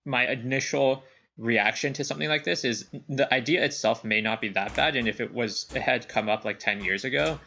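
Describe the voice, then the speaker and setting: A young man co-hosting a casual chat podcast, talking in halting restarts full of "like" fillers. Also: medium pitch, creaky, pushed and bright. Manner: hesitant, many restarts and "like" fillers. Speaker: podcast co-host.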